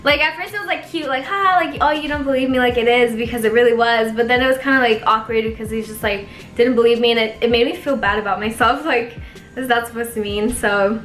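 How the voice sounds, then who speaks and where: A woman's voice: on the high side, quick pace, a bit bright, echoey room, faint music behind. A young woman vlogging in a casual, chatty way, full of fillers and giggly asides. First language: Spanish. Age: young adult. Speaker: YouTuber. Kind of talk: casual vlog chat.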